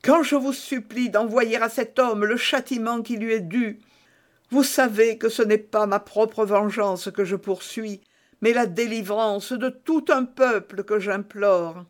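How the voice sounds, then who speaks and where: A man's voice: high voice, fairly even intonation, a little slow, voice pushed up. A man reading aloud and voicing a scheming imprisoned noblewoman whose begging turns to sly, calculating asides. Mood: pleading. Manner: breathless impassioned pleading, swinging to sly asides.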